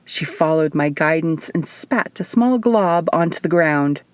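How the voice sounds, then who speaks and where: A woman reading first-person fiction as an audiobook narrator, in an expressive, measured storytelling manner. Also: low voice, no room sound. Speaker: author reading own audiobook.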